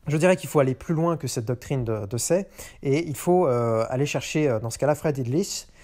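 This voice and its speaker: A man's voice: medium pitch, animated, a little fast, mellow. Hesitant French-speaking man musing aloud. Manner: hesitant, many fillers and restarts. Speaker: podcast host. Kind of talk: unscripted monologue on economics. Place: in a car.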